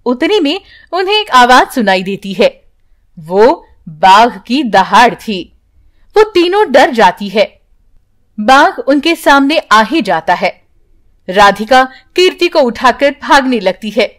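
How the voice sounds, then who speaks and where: Female children's story narrator: very high voice, unhurried scripted read. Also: sing-song, a bit bright.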